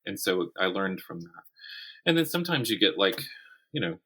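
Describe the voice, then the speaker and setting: A man speaking as a writer guest in a conversational interview, telling things in an animated way and voicing imagined quotes. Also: sits low, moderate pace, slightly rough.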